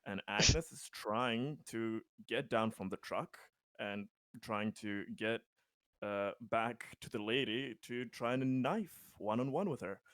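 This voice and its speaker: A man's voice: lowish voice, slow pace, fairly bright tone, dry recording. A man playing a tabletop roleplaying game, talking casually about the play.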